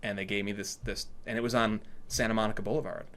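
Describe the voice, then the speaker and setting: A man chatting casually and telling stories in an animated way, acting out what people said to him. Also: fairly low pitch, fast talker. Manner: animated storytelling, acts out reported dialogue. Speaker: storyteller in conversation. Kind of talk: casual anecdotal chat.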